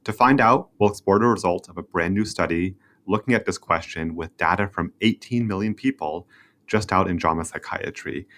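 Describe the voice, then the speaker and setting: Guy hosting a medical interview podcast, really low voice, scripted sounding intro and questions. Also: normal speed, fairly bright tone. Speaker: podcast host, journal web editor.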